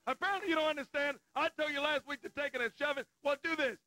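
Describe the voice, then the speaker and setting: A man hosting live wrestling TV, folksy and animated as he plugs shows and calls action. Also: extremely high pitch, fast, no room sound. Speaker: wrestling TV announcer.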